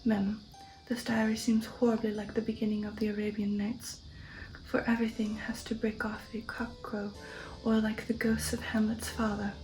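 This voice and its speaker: A woman narrates an audiobook in ASMR style. Her voice is breathy and half-whispered, with a very mellow tone and little movement in pitch. She phrases each sentence deliberately. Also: completely flat delivery, faint music behind, low-quality recording.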